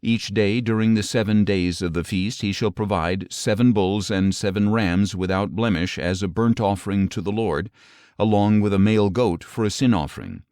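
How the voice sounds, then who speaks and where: A man narrating an audio Bible, reading scripture in a measured, solemn, clearly phrased way. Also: on the low side, darkish tone, no room sound, studio quality.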